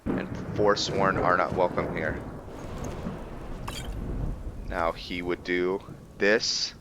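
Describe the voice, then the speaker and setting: A man giving casual commentary on a roleplay video game, halting as he thinks aloud and explains the lore. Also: low voice, steady tone, noisy background. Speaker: gamer narrating a roleplay playthrough.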